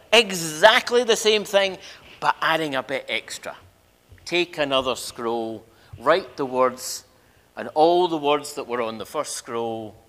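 An older man preaching as a minister, telling a story emphatically with dramatic pauses and repeated phrases in a large church. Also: mid-range voice, a bit bright. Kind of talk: sermon, storytelling to a congregation. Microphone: lectern microphone. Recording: reverberant church hall.

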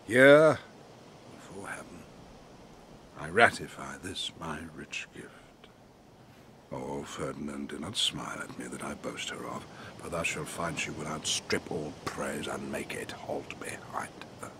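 A man's voice: extremely low pitch, a bit bright, a little hiss or hum, full character voice. An actor playing a commanding older sorcerer and stern father in a Shakespearean audio play, declamatory and solemn.

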